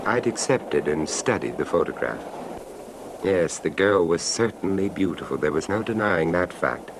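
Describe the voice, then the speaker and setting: An actor playing a reserved, urbane traveler who narrates a radio suspense tale in a measured, polite, literary manner. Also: male voice, lively intonation. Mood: measured, wry. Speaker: narrator and character. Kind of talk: old-time radio horror narration and acting. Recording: noisy radio recording, train rumble.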